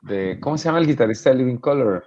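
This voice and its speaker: A man's voice: medium pitch, expressive, fast, warm tone, highs cut off, slight background noise. A man from Mexico, a drummer guest on a video call, speaking in a thoughtful, hesitant way. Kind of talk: casual chat.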